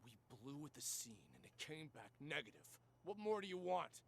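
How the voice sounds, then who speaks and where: A man playing a defiant, urgent undercover agent with a raspy voice in an audio drama. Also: fairly high pitch, very animated, normal speed, very noisy.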